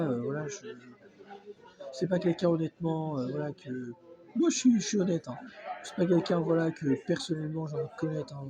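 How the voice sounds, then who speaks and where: A man's voice: fairly high pitch, poor audio. Hesitant man with a cold, crowd behind. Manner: hesitant, many fillers and restarts. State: has a cold. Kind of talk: casual reaction vlog monologue. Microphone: phone not held, off-camera.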